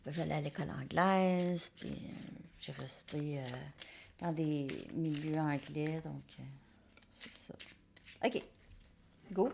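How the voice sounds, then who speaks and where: A woman's voice: deep voice, slow, gravelly, long pauses, a little mellow, slight background noise. A woman giving a casual tarot reading, rambling and hesitant, sometimes dropping to near-whispers. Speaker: tarot card reader.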